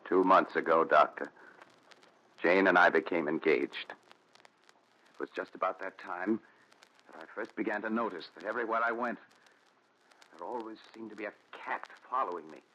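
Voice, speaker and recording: An actor playing a haunted, desperate man confessing his story, with intense, dramatic pauses in 1940s radio melodrama style. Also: sits low, bright, forceful tone. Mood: somber recounting. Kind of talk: 1940s radio thriller acting. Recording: dull noisy 1940s radio recording.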